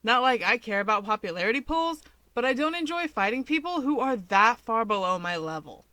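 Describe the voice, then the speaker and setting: A woman's voice: a little high, sing-song, fairly quick, sharp tone, no room sound, voice pushed up. A woman voicing a brash, blunt hero woman with a teasing, exasperated, sardonic manner in a story reading. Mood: irritated, brash.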